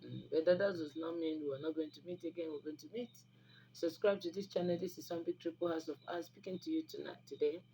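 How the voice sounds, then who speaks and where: A woman's voice: deep voice, a little fast, mellow, low-quality recording. A woman with a Nigerian Igbo accent, a native Igbo speaker, talking informally and instructively to viewers in an emphatic, preachy way, repeating phrases for stress. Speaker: online video host giving herbal remedy advice. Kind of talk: informal instructive talk to camera. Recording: noticeable background noise.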